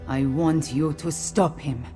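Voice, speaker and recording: A woman voicing a composed, regal cat-folk queen in a video game, speaking in a measured, formal manner. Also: low-pitched, fairly even intonation, warm tone, faint music behind. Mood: grave, commanding. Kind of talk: scripted video game dialogue.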